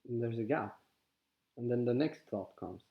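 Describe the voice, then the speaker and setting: Swedish-accented guy teaching slow and calm, lots of restarts, kinda dull lo-fi audio. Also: medium pitch. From Sweden. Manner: calm, halting, with frequent restarts. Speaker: spiritual teacher. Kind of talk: guided contemplative teaching.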